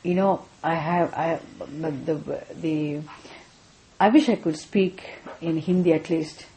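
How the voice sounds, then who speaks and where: A woman's voice: low voice, fairly even intonation, slow pace, mellow, reverberant, a little hiss or hum. A middle-aged woman from Tamil Nadu with a Tamil Indian English accent, teaching spiritual philosophy. She checks with her listeners often and repeats key phrases. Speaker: Vedanta teacher. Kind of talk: spiritual class talk.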